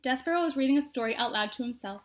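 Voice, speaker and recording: A woman's voice: high voice, very fast. A woman narrating a children's storybook expressively, as someone reading aloud to young listeners. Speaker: storybook reader.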